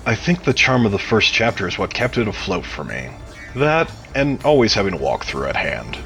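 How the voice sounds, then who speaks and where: A man's voice: on the low side, big pitch swings, moderate pace, fairly bright tone, soft background music. A man giving prepared answers as a podcast interview guest, sounding like he reads them out. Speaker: interview guest, game mod developer.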